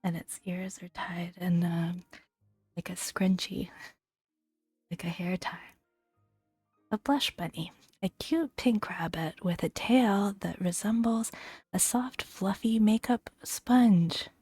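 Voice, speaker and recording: A woman speaks in a soft, breathy ASMR voice that often slips toward a whisper. She takes her time, pausing gently between phrases as she reads and adds small asides. The sound is close and very dry, with faint music underneath. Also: on the low side, dry recording. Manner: gentle, unhurried, with long pauses between phrases. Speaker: gaming video host. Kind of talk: soft-spoken ASMR, reading game entries aloud with casual asides.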